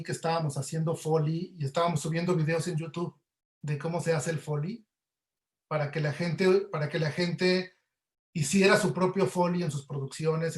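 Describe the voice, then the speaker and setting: Male, Mexican accent, high-pitched voice. Conversational webinar guest, audio professional, recorded with noticeable room echo. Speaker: guest audio producer and Foley artist. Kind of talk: conversational webinar interview answers. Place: indoor room, online webinar call. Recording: roomy, echoey sound.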